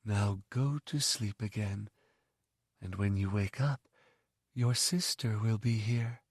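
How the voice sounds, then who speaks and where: A man voicing a commanding older noblewoman with a deep, soft, musical voice, speaking quietly and tenderly. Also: takes it slowly, breathy edge, no echo, studio quality, exaggerated voice.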